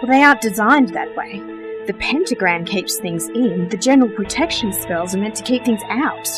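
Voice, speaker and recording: A woman's voice: medium pitch, animated, moderate pace, music in the background, close to an everyday voice. A woman playing a cool, knowledgeable magic expert in a radio play, speaking precisely and with composure. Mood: thoughtful, assured.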